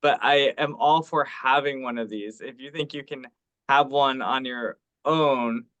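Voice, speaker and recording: A man's voice: medium pitch, steady tone, measured pace, fairly bright tone, muffled recording. A man talking casually in an online meeting, explaining things as an organizer and teacher. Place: remote teleconference call.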